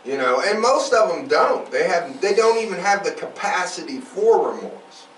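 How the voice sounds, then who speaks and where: Guy with a high voice hosting a true crime pod, casual and sweary, echoey room, bit far from the mic. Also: sing-song, moderate pace. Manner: emphatic, profane. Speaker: true crime podcast host. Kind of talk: casual conversational storytelling. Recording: roomy, distant mic, faint noise.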